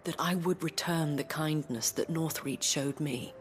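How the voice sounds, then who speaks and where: An actress playing a gracious, worldly former courtesan in a video game cutscene, speaking softly and eloquently in a measured, genteel way. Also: female voice, low voice, a little hiss or hum. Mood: grateful, resolute. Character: gracious worldly former courtesan, madame leading a fantasy town. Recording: music under the voice.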